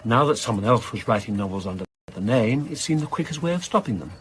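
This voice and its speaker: An actor playing an evasive, reclusive crime novelist in a radio drama, British accent, articulate, guarded and pedantic. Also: male voice, medium pitch, animated, fast, a little hiss or hum, lightly acted. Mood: precise, guarded. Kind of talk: naturalistic radio drama acting.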